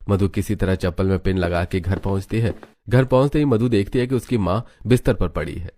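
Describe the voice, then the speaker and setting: A man's voice: deep voice, a little fast, warm tone. A man narrating a scripted story as its narrator.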